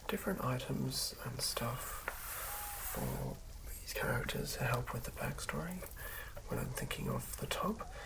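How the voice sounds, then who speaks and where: A host whispering in a breathy, hushed ASMR style, reading aloud and commenting casually in an unhurried way. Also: medium pitch, low-quality recording. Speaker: host reading and building a tabletop game character.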